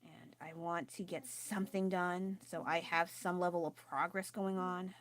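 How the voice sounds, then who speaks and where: A woman chatting casually while painting on a live stream at home, self-deprecating and quick to laugh, with a loud voice. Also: on the low side, fairly even intonation. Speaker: artist livestreaming her painting. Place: at home with family nearby.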